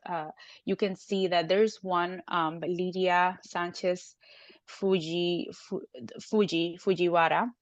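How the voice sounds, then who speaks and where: Woman from Cuba, academic guest, lowish voice, dry muffled audio. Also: no echo. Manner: animated, frequent "right?" tag questions. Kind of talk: conversational interview.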